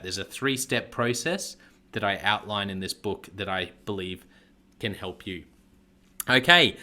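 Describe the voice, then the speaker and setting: A man's voice: lowish voice, pushed and bright. A man hosting a leadership podcast, upbeat and encouraging, with some fillers and restarts. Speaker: podcast host. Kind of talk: podcast interview and promo.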